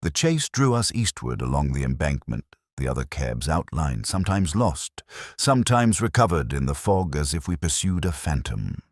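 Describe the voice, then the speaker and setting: Really low male voice reading a detective story, measured storyteller style, lots of movement in the pitch, dry sound. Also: very animated, dry recording. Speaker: audiobook narrator. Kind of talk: scripted first-person mystery narration.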